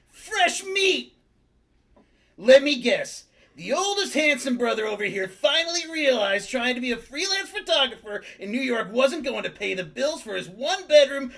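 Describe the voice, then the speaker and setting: A man's voice: very high voice, lively intonation, measured pace, gravelly, a bit bright, heavily acted. A man playing a smug, taunting rival in a sitcom table read, mocking and sardonic.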